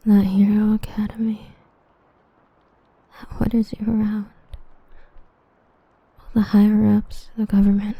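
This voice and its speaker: Woman playing a whispering, slow, obsessive villainess. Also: mid-range voice, no echo, phone-quality sound. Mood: cold menace. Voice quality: breathy, whispery. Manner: slow, intimate, menacing devotion. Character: obsessive yandere female villain, tenderly possessive, murderously protective. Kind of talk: ASMR roleplay.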